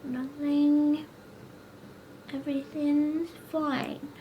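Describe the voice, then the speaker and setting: A woman's voice: sits high, little pitch movement, drawn-out words, dull audio, slight background noise, voice pushed up. Woman putting on a sobbing little-girl voice. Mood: tearful. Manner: childlike, sobbing, pleading. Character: tearful grown woman regressed into a little girl.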